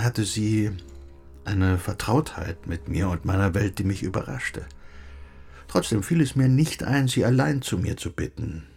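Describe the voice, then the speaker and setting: Low, creaky-voiced musing recluse. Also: male voice, a little mellow. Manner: reflective, lyrical, measured. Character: wistful, gently philosophizing bookish recluse husband. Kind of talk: German radio play acting.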